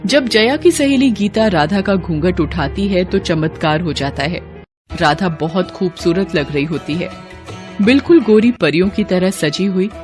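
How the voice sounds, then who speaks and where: A woman's voice: on the low side, expressive, measured pace, darkish tone, faint music behind. A woman working as narrator, reading a scripted Hindi moral story.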